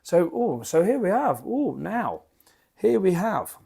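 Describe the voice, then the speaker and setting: A man with a croaky voice from a lingering cough, rambling in a chatty, self-deprecating way as he reviews beer at his home bar into a desk microphone. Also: sits high, sing-song, slow pace, warm tone.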